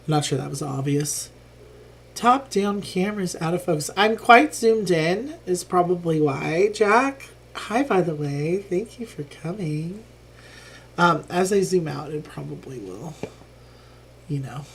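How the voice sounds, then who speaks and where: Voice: mid-range voice, vocal fry, slight background noise. A streamer casually talking through a live board game playthrough, thinking aloud with frequent ums and a lingering cough after being ill.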